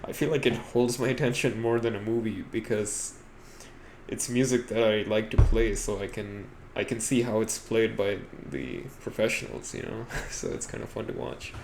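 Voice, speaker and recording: Man, lowish voice, laid-back podcast co-host, hesitant conversational delivery, noisy recording. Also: steady tone, moderate pace, creaky. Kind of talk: casual chat.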